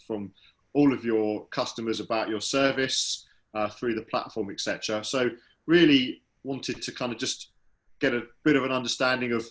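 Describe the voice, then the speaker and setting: A man's voice: medium pitch, fairly bright tone. A man hosting a company interview series, introducing it and chatting with a guest in a loose, friendly way full of ums. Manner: friendly, loose, lots of ums and trailing phrases. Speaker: host from the company. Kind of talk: interview host, casual business chat.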